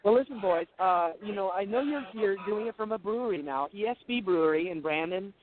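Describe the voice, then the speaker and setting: A man's voice: high voice, animated, brisk. A man playing a flamboyant, boastful globetrotting caller in a radio comedy bit, gushing on a phone line. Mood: breezy, enthusiastic. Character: flamboyant, boastful globetrotting male caller who loves pink. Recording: quiet tinny phone line.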